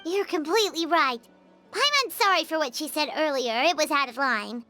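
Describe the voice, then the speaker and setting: A woman voicing a tiny, childlike fairy girl companion in a video game, squeaky, perky and earnest. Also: animated, normal speed, sharp tone. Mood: apologetic. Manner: perky, refers to herself in third person. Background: background music.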